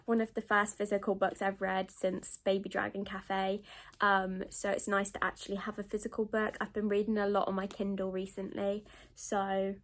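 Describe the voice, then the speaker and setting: A British woman chatting casually in a rambling book vlog, groggy and sniffly with a cold. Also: mid-range voice. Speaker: book vlogger.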